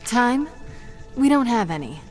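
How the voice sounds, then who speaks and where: A woman's voice: on the high side, sing-song, unhurried, darkish tone, faint music behind. A woman playing an impatient, sardonic pirate captain in a video game, with punchy, sarcastic delivery. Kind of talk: video game character acting. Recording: ship and sea ambience behind.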